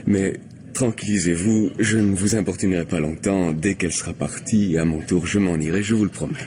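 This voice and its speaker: A male actor plays a glib gentleman who insists and wheedles in a low voice. He is talkative and stammers his way along in an old French radio play. The recording is old, with clear background noise. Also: steady tone, fairly quick, natural voice. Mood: smooth flattering. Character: glib, insistent gentleman pestering a young woman.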